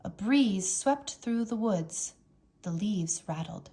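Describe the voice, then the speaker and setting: A woman reading a children's storybook aloud as a teacher, with expressive, dramatic narration. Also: medium pitch, slow.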